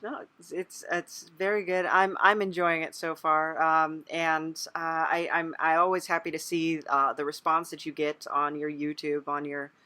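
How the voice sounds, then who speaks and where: A woman hosting a casual interview in an agreeable, somewhat halting way. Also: low-pitched, little pitch movement, bright, forceful tone, dry recording. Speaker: podcast host, interviewer.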